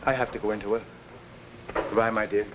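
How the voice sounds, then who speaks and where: An actor playing a gentle, conflicted married orchestra conductor in early 1950s television drama. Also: male voice, fairly low pitch, level tone, brisk, lightly acted. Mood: regretful. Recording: noisy 1950s television soundtrack.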